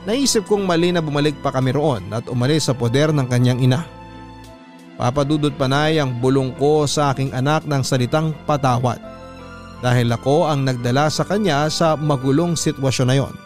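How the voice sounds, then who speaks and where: A man's voice: medium pitch, normal speed. A man reading a listener's letter as scripted first-person narration for a radio drama. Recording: music under the voice.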